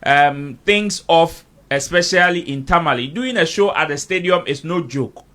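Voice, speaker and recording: Bright, forceful male interviewer. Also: fairly high pitch, animated, moderate pace. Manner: rephrases questions, murmurs acknowledgements. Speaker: interviewer, host. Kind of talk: radio interview questioning.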